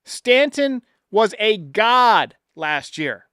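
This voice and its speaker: Sports talk host, male, fairly high smooth voice, blunt and emphatic, swears freely, dry close sound. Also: very animated, very slow, a bit bright, dry recording. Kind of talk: opinionated sports commentary.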